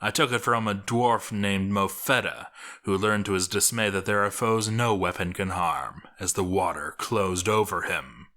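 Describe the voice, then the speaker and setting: Bright, low-pitched man telling a dramatic tale. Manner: theatrical, deliberate dramatic pauses. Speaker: narrator reading a game story aloud. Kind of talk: dramatic scripted storytelling narration.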